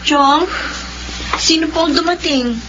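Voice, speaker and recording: A woman's voice: sits high, slow, background noise. A Filipino actress playing an anguished, wronged young woman in an emotional, impassioned radio drama style. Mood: wary. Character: anguished young woman, wronged victim, bitter toward her brother. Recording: dull lo-fi radio, music behind.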